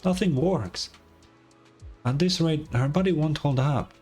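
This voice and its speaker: Man voicing a high-pitched, pleading young child. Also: unhurried, a little mellow, slightly rough, soft background music. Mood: sad, pleading. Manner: hesitant, earnest, pleading. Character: worried, pleading young child, loyal best friend.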